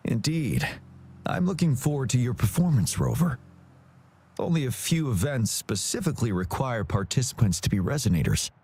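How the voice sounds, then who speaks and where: An actor playing a dutiful, courteous young military officer in a video game, speaking politely and formally. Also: male voice, mid-range voice, very animated, normal speed, darkish tone, slightly rough. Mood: composed, helpful.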